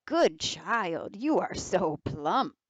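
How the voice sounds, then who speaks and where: A woman's voice: lowish voice, big pitch swings, very slow, slight background noise, heavily acted. A woman voicing a cunning old wolf who poses as a frail grandmother, coaxing and sly. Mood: sweetly deceitful.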